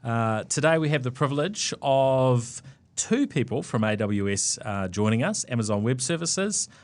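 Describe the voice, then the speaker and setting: A man's voice: medium pitch, big pitch swings, moderate pace. A man with a New Zealand accent hosting a podcast interview, casual and loose, with many fillers and restarts. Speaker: podcast host.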